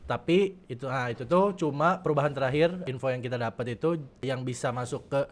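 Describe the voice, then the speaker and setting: A man's voice: mid-range voice, brisk. A man with a colloquial Jakarta Indonesian accent, discussing football casually and informally as a podcast co-host. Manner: informal, slangy.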